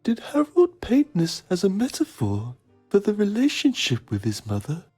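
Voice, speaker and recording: A woman's voice: mid-range voice, huge pitch swings, normal speed, mellow, breathy edge, soft background music. A woman playing a pretentious, self-admiring male journalist, narrating a mock documentary in a theatrical manner. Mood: pompous musing. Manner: pompous, theatrical.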